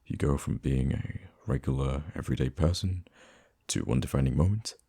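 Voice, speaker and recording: Man voicing a very deep, gravelly theatrical supervillain. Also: normal speed, mellow, heavily acted. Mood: incredulous. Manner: dramatic, flustered, teasing, stammering when thrown off. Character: theatrical male supervillain fond of his hero nemesis. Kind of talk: ASMR roleplay monologue.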